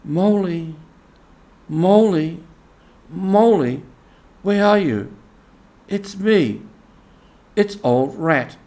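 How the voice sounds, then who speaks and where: A man's voice: high-pitched, animated, drawn-out words, pauses often, warm tone, voice pushed up, exaggerated voice. A man voicing a kindly, experienced water rat in a storybook, with a hearty and earnest manner. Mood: cheerful calling. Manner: soothing, hearty, earnestly advising.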